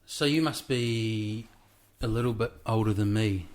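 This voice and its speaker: A man in his forties hosting a podcast, chatty and quick to jump in with questions. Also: on the low side, darkish tone. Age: 40s. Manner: chatty, laughs often, jumps in with questions. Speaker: podcast host. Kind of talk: casual interview chat.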